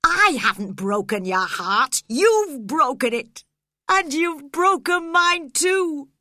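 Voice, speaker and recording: A woman's voice: fairly low pitch, slow, no echo, clean studio sound, exaggerated voice. A woman voicing a fierce, grieving young lover. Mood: anguished. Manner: wild, pleading, then hushed.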